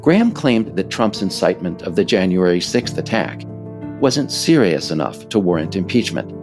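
An American man reading scripted political nonfiction as author and narrator, in a measured, emphatic way. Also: low-pitched, sing-song, music in the background.

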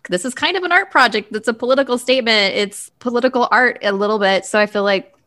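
A woman's voice: on the high side, fast talker, pushed and bright. A woman hosting a casual group discussion in a chatty, informal way, often saying "like". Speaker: podcast host.

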